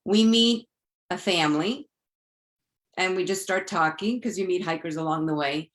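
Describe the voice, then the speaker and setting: A woman's voice: lowish voice, normal speed. A woman from Florida, moderating an online group, tells a personal story casually and acts out the dialogue as she goes. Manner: animated retelling with acted-out dialogue. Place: online live video call.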